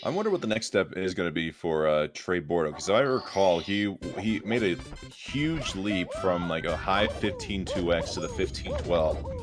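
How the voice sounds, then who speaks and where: A man's voice: low-pitched, expressive, normal speed, over music. A man commentating a live speedrun stream, joking and rambling in asides.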